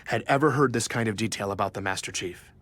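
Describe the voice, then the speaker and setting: A man's voice: lowish voice, quick pace, a bit bright. An actor playing an earnest, easily awed male journalist who narrates his story in a scripted audio drama. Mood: earnest, reverent.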